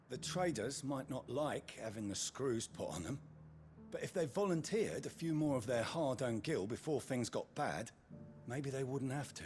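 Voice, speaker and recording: An actor playing a pragmatic, easygoing soldier in a fantasy game, colloquial and matter-of-fact. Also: male voice, mid-range voice, darkish tone, close to an everyday voice. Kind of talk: scripted fantasy game acting.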